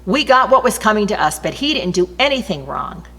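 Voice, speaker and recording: A woman's voice: medium pitch, very animated, fairly quick, a bit bright, husky, voice pushed up, full character voice. A woman reading the part of a repentant male criminal, earnest and reproachful, in a Bible story. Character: repentant condemned criminal, a man scolding then pleading. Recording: long reverberant hall echo.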